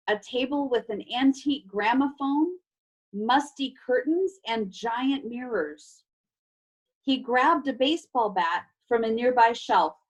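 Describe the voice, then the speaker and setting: A woman's voice: a little high, rough recording. A woman teacher reading a story aloud to children and explaining things simply. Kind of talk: expressive storybook read-aloud with chat to children. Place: online class video call.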